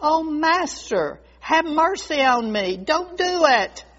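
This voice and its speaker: A woman's voice: medium pitch, animated, normal speed, slightly rough, long reverb tail. A woman voicing a proud, devoted bamboo tree in a storybook tale, speaking in an earnest, dramatic way. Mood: anguished pleading. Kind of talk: storybook character voice. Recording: dull lo-fi recording.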